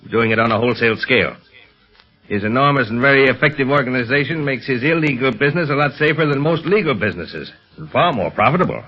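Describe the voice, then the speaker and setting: An authoritative American police chief from a 1930s radio serial. He speaks formally and earnestly at a middle pitch, weighing his phrases. The sound is dull and band-limited, like an old broadcast. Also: expressive, husky, faint music behind. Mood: earnest, urgent. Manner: formal, deliberate, weighty delivery.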